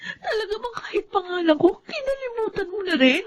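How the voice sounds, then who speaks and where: A woman's voice: extremely high pitch, animated, brisk. A woman playing a bitter, surly young housemaid and abandoned daughter in a Filipino melodrama, curt and accusing. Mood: tearful, accusing. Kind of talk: Filipino radio-style melodrama acting.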